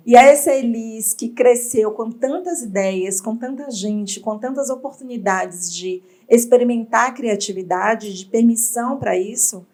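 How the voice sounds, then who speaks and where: A woman's voice: a little high, measured pace, few pauses, a little mellow. Reflective woman entrepreneur. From Bahia, Brazil. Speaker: entrepreneur guest. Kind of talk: interview storytelling.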